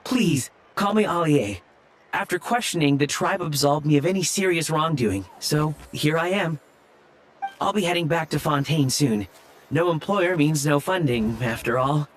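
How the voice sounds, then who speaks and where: A man's voice: on the high side, lively intonation, normal speed, slight background noise, lightly acted. A man playing a polite, earnest young researcher from abroad in a video game, courteous and eager.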